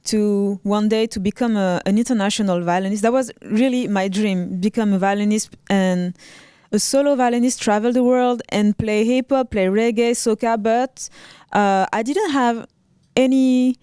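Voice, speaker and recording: Smooth-voiced French-accented woman. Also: medium pitch, fairly even intonation, moderate pace, dry recording, clean studio sound. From Reunion Island, raised in Paris. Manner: soft-spoken, many ums and restarts. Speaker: guest violinist. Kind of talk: casual interview chat.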